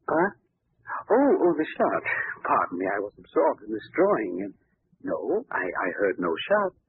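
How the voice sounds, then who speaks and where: An actor playing a flustered, deferential gardener being questioned in a 1930s radio drama. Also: male voice, fairly low pitch, sing-song, moderate pace, breathy edge, no room sound, exaggerated voice. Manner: hesitant, deferential. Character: flustered male gardener, evasive servant. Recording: tinny dry old radio broadcast.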